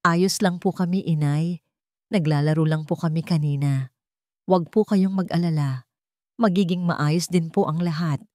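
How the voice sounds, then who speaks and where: A woman's voice: low voice, steady tone, normal speed, dark tone, no room sound, clean studio sound, natural voice. A woman with a Filipino accent voicing an earnest, protective young boy in a storybook, polite and serious. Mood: reassuring.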